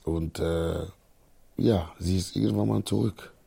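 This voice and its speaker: A man's voice: low-pitched, steady tone, measured pace, vocal fry, over loud music. A man from Togo, speaking as a non-native, gives a spontaneous personal account in simple wording. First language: not German. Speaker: interviewee.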